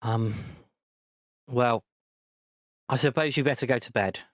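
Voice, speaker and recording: A man's voice: medium pitch, unhurried, no echo, studio quality, close to an everyday voice. A man playing a stern, worried dad. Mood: gruff. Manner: scolding, anxious questioning. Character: stern worried middle-aged father.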